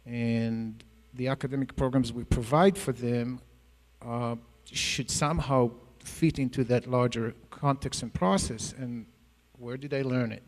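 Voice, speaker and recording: A man's voice: mid-range voice, fairly even intonation, unhurried, in a large hall. A middle-aged man with an Israeli accent, a Hebrew native speaker, speaking earnestly on an education panel, rambling with many fillers and restarts. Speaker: panelist, math education professor. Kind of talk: panel talk to an audience. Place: school auditorium.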